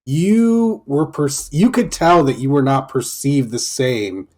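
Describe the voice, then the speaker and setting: A man's voice: medium pitch, slow pace, darkish tone. A man hosting a podcast, asking his guest questions in a casual interview. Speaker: podcast host.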